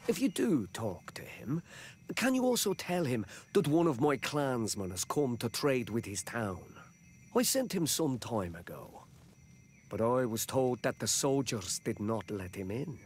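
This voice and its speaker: Game role: solemn, diplomatic village chief, formal and slow, over faint background ambience. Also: male voice, medium pitch, very animated, a little mellow, a little hiss or hum. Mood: concerned. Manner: measured, formal, ceremonious. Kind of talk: video game character dialogue.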